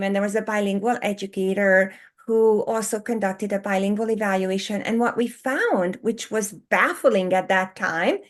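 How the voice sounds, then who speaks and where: Hungarian-born woman, lively and expressive. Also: mid-range voice. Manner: animated, expressive, pauses to rephrase. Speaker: co-author and education guest. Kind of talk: conversational podcast interview.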